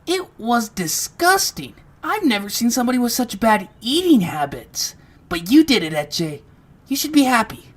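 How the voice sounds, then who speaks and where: A woman's voice: on the high side, sing-song, normal speed, slight background noise. A woman playing a sincere, stubborn little male creature with wounded feelings in an amateur audio drama. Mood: matter-of-fact. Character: earnest, wounded one-eyed small male flying creature.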